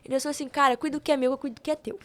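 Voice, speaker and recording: A woman's voice: high-pitched, little pitch movement, rapid-fire, a bit bright. A young Brazilian woman telling personal stories casually as a podcast guest, slangy and often retelling conversations as dialogue. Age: young adult.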